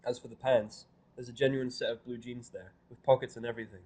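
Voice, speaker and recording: A man's voice: medium pitch, fairly even intonation, fast, a little mellow. Man reading fan fiction, dry narration. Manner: first-person narration, dry and irritable. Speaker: reader.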